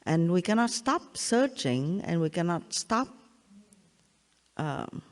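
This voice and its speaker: Older Vietnamese-accented woman, spiritual teacher, halting and repetitive, long reverb of a large hall. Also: fairly low pitch, sing-song. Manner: halting, repeats phrases, checks in with "yeah?". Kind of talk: satsang talk.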